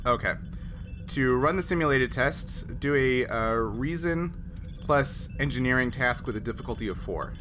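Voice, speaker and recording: A man narrating a tabletop game as game master in a casual, halting, explanatory way. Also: medium pitch, lively intonation, music in the background. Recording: clearly noisy group recording.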